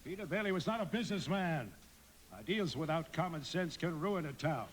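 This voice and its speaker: A man's voice: a little high, animated, fairly quick, natural voice. An actor playing a cold, miserly old businessman villain in a live radio play, pompous and curt. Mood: scornful. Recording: live theatre stage, audience behind.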